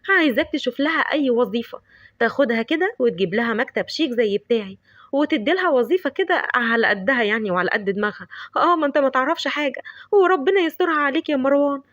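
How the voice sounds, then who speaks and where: A calm young businesswoman with an Egyptian Arabic accent , as a woman voices her in a story. She sounds reassuring, with a light mocking edge, and her speech is brisk. The recording is dry. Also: high voice, dry recording.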